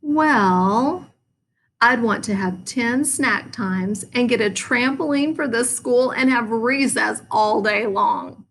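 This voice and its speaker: Woman voicing an excitable, high-pitched little boy frog. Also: slow pace, a little hiss or hum. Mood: eager. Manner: exclamatory, eager, sing-song. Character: excitable, boastful young boy frog at school.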